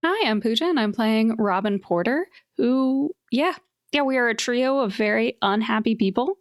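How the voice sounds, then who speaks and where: A woman's voice: on the high side, expressive, normal speed, dry recording, studio quality. A woman chatting casually as a tabletop game player, with a blunt, wry way of talking.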